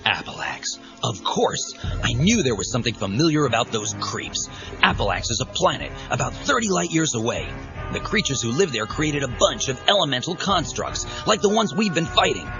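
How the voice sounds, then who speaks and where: A man's voice: mid-range voice, sing-song, moderate pace. A man playing a cocky, wisecracking speedster superhero in an audio drama, with a breezy, joking manner. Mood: thoughtful. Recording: dull cassette audio under loud music.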